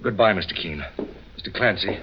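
Earnest client, old radio. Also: male voice, deep voice, lively intonation, brisk. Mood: earnest, grateful. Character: grateful, earnest male client of a detective. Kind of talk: 1940s-50s radio drama acting. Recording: muffled, noisy old radio recording.

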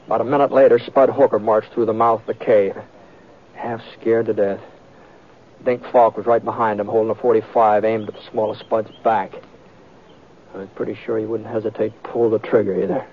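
A man's voice: fairly low pitch, flat delivery, a little fast, a little hiss or hum, close to an everyday voice. An actor playing a plainspoken, folksy American cowboy lawman who narrates an old radio western. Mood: tense storytelling. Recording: tinny vintage radio with music.

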